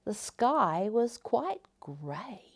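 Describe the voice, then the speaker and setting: A woman storyteller hosting a story time, reading to children in a gentle, expressive way with deliberate phrasing. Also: mid-range voice. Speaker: storyteller, host.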